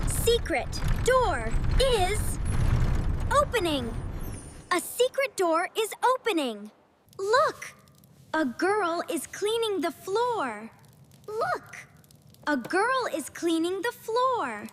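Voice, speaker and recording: A woman voicing an eager, cheerful young girl in a beginner English storybook cartoon, speaking slowly and deliberately in simple sentences. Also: extremely high pitch, sing-song, fairly bright tone, slight background noise, exaggerated voice. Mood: bright, eager. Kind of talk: slow scripted English lesson story dialogue.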